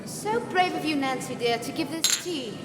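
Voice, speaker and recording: Tea-party socialite actress, radio drama: affected, effusive, very high voice, echoey hall with music behind. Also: female voice, expressive, moderate pace. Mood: gushing. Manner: gushing, affected. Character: gushing, affected society lady at tea. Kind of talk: old-time radio drama acting.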